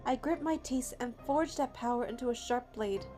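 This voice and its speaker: A woman with a high voice reads story narration expressively, as a player going through a game. Soft music plays behind her. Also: moderate pace.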